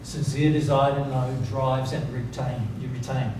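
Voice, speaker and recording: A man's voice: mid-range voice, level tone, normal speed. A man teaching spiritual principles informally to an audience, emphatic, repeating key words and asking rhetorical questions to check they follow. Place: reverberant seminar room with audience. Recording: very echoey, noisy room, distant mic.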